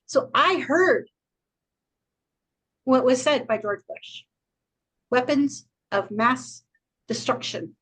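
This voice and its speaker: A woman's voice: sits high, expressive, slow, pauses often, a bit bright, husky, low-quality recording. A woman co-hosting a podcast, talking frankly and earnestly in casual chat and laughing easily. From United States. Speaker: podcast co-host.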